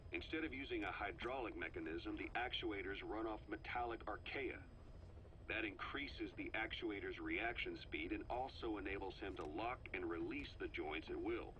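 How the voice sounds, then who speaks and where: An actor playing a middle-aged intelligence operative in a game's cassette tape, briefing in a measured, matter-of-fact way. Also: male voice, on the low side, fairly bright tone, slight background noise, natural voice, low-quality recording. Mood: dry, measured.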